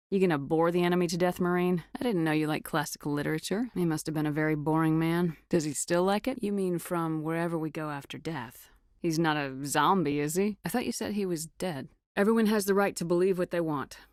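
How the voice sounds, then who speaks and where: A tough female military commander from a video game, acted by a woman. Her voice sits on the low side and is recorded close and dry. She speaks with firm authority and a guarded, wry edge. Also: no echo, lightly acted. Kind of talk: video game dialogue acting.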